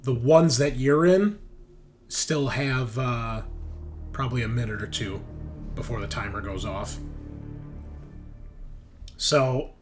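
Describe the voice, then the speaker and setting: A man narrates a tabletop game as its game master. His delivery is slow and halting, as if he is working things out aloud. Faint music plays behind him. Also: medium pitch.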